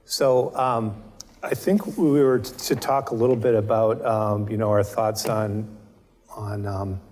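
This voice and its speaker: A man giving a talk to students as a panel speaker, halting, with many repeated words and ums. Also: fairly low pitch, steady tone, darkish tone. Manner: halting, many restarts and fillers. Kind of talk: presentation to students. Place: lecture hall. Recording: reverberant lecture hall.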